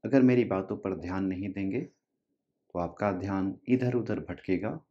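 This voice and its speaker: A man guiding a relaxation meditation as a yoga instructor, giving short instructions with long pauses, in a dull recording. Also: low-pitched, mellow.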